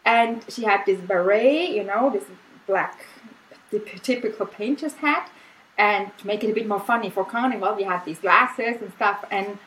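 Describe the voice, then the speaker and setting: A woman's voice: mid-range voice, normal speed, rough recording. Austrian lady, german first language, easy chatty guest on a video call, lots of little laughs and ums. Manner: relaxed, laughs often, many fillers and restarts. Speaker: guest artist. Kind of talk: casual interview chat.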